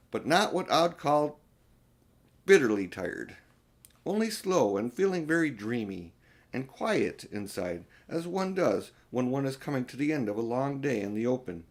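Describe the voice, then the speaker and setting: An older man reading a storybook aloud to children as an audiobook narrator, now and then stumbling over a word. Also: mid-range voice.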